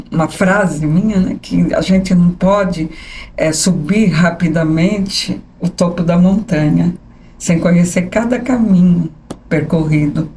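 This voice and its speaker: A woman's voice: fairly low pitch, a little hiss or hum. Chatty São Paulo woman in her sixties. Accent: São Paulo capital Portuguese. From São Paulo state. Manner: animated, often tags "né?". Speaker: guest, journalist. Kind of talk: conversational interview storytelling.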